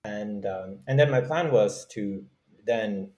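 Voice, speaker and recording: A man from Norway speaking casually as an interview guest, halting as he thinks aloud. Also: fairly low pitch, darkish tone. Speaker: guest, startup founder.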